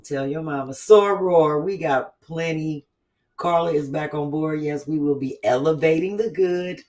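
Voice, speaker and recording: A woman hosting a casual chat, talking in an animated, blunt, profane way with quick asides. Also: deep voice, far from the mic. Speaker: host.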